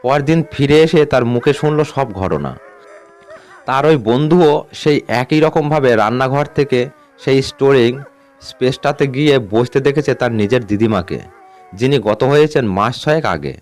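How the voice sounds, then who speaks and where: A man narrating a scripted ghost story in a measured, suspenseful way. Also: medium pitch, a little mellow. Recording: music bed under the voice. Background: background music.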